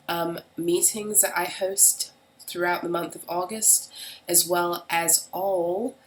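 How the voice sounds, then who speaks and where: Woman with a head cold, raspy and kinda low, flat delivery, lots of ums, faint hum in the room. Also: rough recording. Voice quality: raspy, deeper than usual. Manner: halting, with frequent ums and restarts. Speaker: tarot and astrology reader. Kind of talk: casual solo video monologue. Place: at home in the UK.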